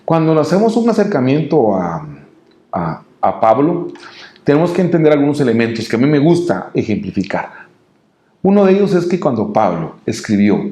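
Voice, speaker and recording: A man with a Guatemalan Spanish accent giving a devotional sermon to listeners in a halting way, often repeating his words. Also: medium pitch, warm tone. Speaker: preacher. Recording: roomy, echoing room sound.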